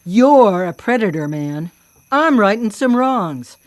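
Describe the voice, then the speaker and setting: A woman's voice: medium pitch, big pitch swings, slow, no echo. An actress in a live radio drama playing a vengeful female vigilante killer, mocking, cocky and coolly menacing. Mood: angry, righteous. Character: vengeful, taunting female vigilante killer who hunts predators.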